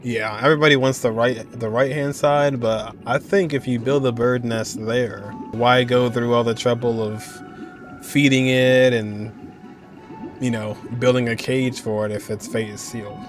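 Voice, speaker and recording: A young man reacting to a game, relaxed and musing, laughing often. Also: medium pitch, moderate pace. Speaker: gaming reaction streamer. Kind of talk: casual reaction commentary. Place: indoor room at desk. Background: game music.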